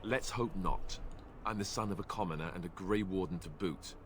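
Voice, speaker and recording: A man's voice: deep voice, slight background noise, natural voice. A man doing a wry, awkward young warrior. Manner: halting, stammering, wry. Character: young male warrior, awkward and self-deprecating.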